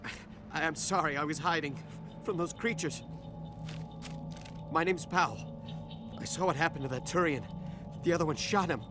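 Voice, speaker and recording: A man's voice: a little high, normal speed, pauses often, natural voice. A man playing a cowardly, frightened dockworker who has survived an attack in a video game, stammering, nervous and pleading. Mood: shaken. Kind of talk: cinematic sci-fi game dialogue. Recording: music under the voice.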